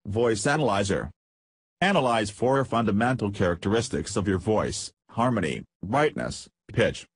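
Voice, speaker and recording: A man reading scripted promotional narration for a software demo, announcing headings and lists in short segments. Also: lowish voice, breathy edge, dry recording. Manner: reads feature lists in short headed segments.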